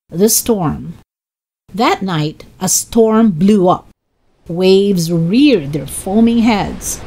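A woman reading a children's chapter book aloud as narrator, expressive, dramatic and deliberate. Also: medium pitch, noisy background. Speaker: children's story reader. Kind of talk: expressive scripted storybook narration.

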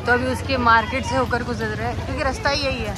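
A woman's voice: on the high side, fairly even intonation, fast, over a noisy crowd. A young woman vlogging a family road trip in casual chat, laughing often, recorded on a phone. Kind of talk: casual travel vlog chat. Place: in a car and outdoors on a road trip. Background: loud car and street noise.

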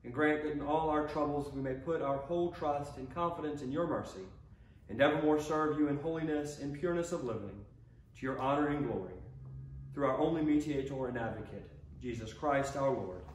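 A man leads a church service, reciting prayers in a measured, solemn manner. His voice sits at an ordinary male pitch and is heard at some distance from the microphone in a very roomy space. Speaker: officiant leading a prayer service. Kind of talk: liturgical reading of prayers and psalms. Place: inside a church sanctuary by the altar. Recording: roomy, distant mic with faint noise.

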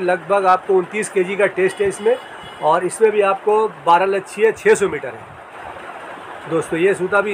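High-voiced man with street noise. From India. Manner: repetitive, explains sizes and strengths plainly. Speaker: fishing tackle shop owner. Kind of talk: casual product demonstration.

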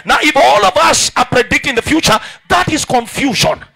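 A young Kenyan man preaching a sermon in a large church hall on a handheld mic, calling out to the congregation and rising into shouts. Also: extremely high pitch, lively intonation, moderate pace, creaky. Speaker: pastor. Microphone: handheld microphone.

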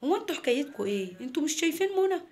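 A woman playing an exasperated mother in a story, by turns scolding and cajoling in a brisk way. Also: sits high, big pitch swings, a little mellow, pitched above the performer's own voice. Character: exasperated scolding mother, coaxing her daughter.

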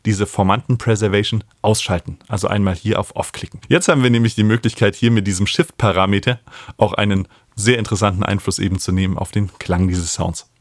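A man, explaining music software as an instructor in a tutorial. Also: lowish voice, steady tone, fast, no echo, studio quality. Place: small home studio, indoors. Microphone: studio microphone up close.

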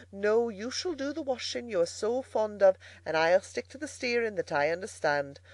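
Storybook barge woman, acted by a narrator: hefty, brash, mocking, voice pitched well up. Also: no echo, lightly acted. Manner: mocking, scolding.

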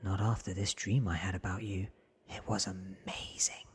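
A man voicing an earnest, awed young man from a storybook in a hushed, breathy ASMR whisper. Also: low voice, flat delivery. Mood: awed, eerie. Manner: hushed, drawn-out, earnest telling.

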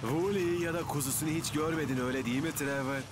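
An actor playing a bumbling, good-natured young firefighter, hesitant and apologetic, in a children's cartoon dub. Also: male voice, on the high side, fairly even intonation, fast talker, noisy background, close to an everyday voice. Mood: sheepish.

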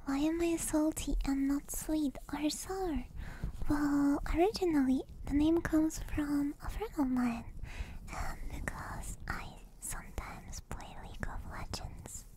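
A woman whispering gently and breathily in an ASMR livestream, close to a binaural microphone. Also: high-pitched, slow, poor audio. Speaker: streamer, ASMR host. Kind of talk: whispered ASMR roleplay and chat.

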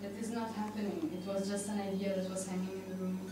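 A woman's voice: mid-range voice, little pitch movement, fast talker. A young woman from Argentina, speaking reflectively and tentatively in an informal discussion. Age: young adult. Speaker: panel participant. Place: indoor conference room. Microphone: handheld microphone. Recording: echoey room, distant, noisy.

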